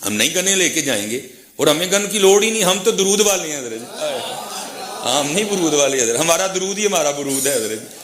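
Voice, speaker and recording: An echoey recording of a man preaching with a Pakistani Punjabi accent. His voice sits somewhat high, and he delivers a fiery, informal sermon full of scorn and repeated taunts. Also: expressive, fairly quick, background noise. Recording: echoey, roomy sound.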